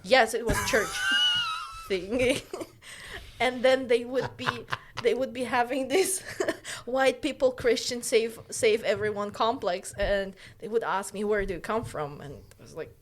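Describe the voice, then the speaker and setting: A woman's voice: on the high side, sing-song, a little slow, slight background noise. A woman from Uzbekistan whose native language is Russian, chatting casually as a podcast co-host and telling stories in a playful, teasing way. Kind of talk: casual chat, animated storytelling.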